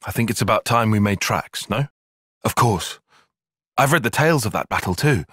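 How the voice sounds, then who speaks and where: Young tactician, fantasy video game, analytical, articulate, husky edge, lively intonation, close dry sound. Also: male voice, on the low side, moderate pace, dry recording. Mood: serious, analytical. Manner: precise, formal, articulate phrasing. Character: earnest, analytical young male soldier and strategist in a fantasy game. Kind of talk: video game cutscene acting.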